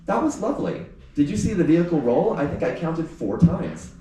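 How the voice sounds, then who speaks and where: Guy doing a cheery murderous wife from a thriller book, animated pitch, super echoey. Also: brisk. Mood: gleefully delighted. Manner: chirpy, casual about murder. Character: smiling, eerily cheerful murderous wife, delighted by killing. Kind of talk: audiobook-like thriller read aloud. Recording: roomy, echoing live reading, distant mic, faint noise.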